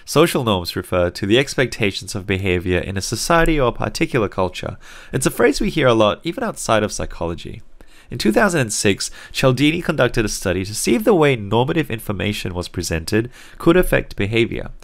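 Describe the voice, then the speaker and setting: A young man who grew up in Singapore but now speaks with an Australian accent. He narrates a psychology video lesson in a lower, slightly deep voice that moves up and down a lot. The delivery is clear and conversational, with a light wry edge. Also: very animated, fairly quick. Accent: Australian English, learned as an adult. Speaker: psychology teacher.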